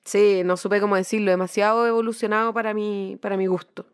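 A woman's voice: medium pitch, flat delivery, a little fast, clean tone. A woman with a Chilean accent co-hosting a podcast in a casual, colloquial, slangy chat. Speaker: podcast co-host.

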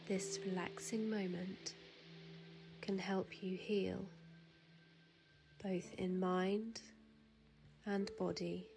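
A woman guiding a sleep meditation in a soothing, hushed manner, with soft breathy phrase endings and drawn-out pauses. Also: mid-range voice, little pitch movement, slight background noise. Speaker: meditation guide. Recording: piano music and waves behind. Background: relaxing piano music and ocean waves.

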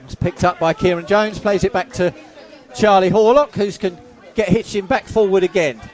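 A man giving live play-by-play football commentary outdoors, excitable and losing his voice. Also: high voice, moderate pace. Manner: excitable, shouts at goals, self-mocking. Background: crowd noise.

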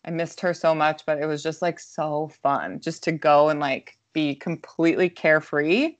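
A hoarse woman co-hosting a podcast, chatting casually with lots of "like" and anxious rambling. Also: sits low, steady tone, normal speed. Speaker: podcast co-host. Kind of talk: casual chat.

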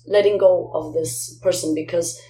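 Lower-voiced woman in an echoey room. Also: steady tone, normal speed, warm tone. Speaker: guest singer. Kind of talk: reflective interview conversation. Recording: roomy echo, faint background noise.

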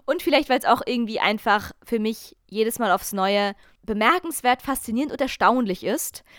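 A chatty young woman with German as her mother tongue, telling stories in a lively, self-mocking manner. Her voice sits a little high. The sound is dry and close, with no room around it. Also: moderate pace, no room sound. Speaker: podcast host. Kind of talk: casual storytelling chat.

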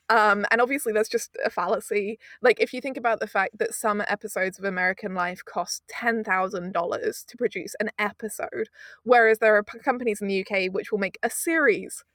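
A woman working as an audio producer, chatting as an interview guest in a lively way with frequent restarts. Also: mid-range voice, no echo, studio quality. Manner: animated, fast-talking with frequent restarts. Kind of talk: casual interview chat.

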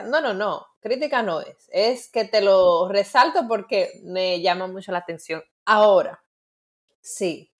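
A woman with a Dominican Spanish accent, co-hosting a casual podcast and teasing her co-host playfully. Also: mid-range voice. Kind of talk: casual chat.

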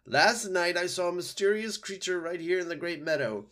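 A man's voice: sits high, moderate pace, fairly bright tone, pitched above the performer's own voice. A man voicing a self-important, alarmed owl in an animal story, declaiming in a screechy hoot. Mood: anxious, announcing. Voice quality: screechy, hooting. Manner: declaiming, agitated.

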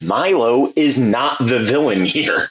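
A man co-hosting a casual comedy podcast, making dry, deliberate comic asides about a movie. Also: medium pitch, animated. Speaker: podcast co-host. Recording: roomy, dull, noisy mic.

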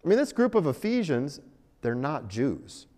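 A man's voice: on the high side, very animated, normal speed, dark tone. A man preaching in a conversational, wryly humorous way, telling stories and posing rhetorical questions in a large hall. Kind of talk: sermon, conversational and storytelling. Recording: echoey hall with long reverb.

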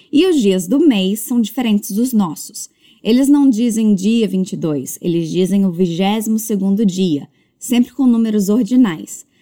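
A woman teaching English as a language lesson, modeling words slowly and carefully before brisk explanations. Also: medium pitch, warm tone. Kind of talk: slow drilled pronunciation lesson.

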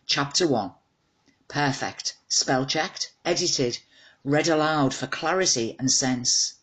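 A woman's voice: very deep voice, slow, a bit bright, slightly rough, rough recording. A woman narrating a scripted short story as its author, in a wry, dramatised reading.

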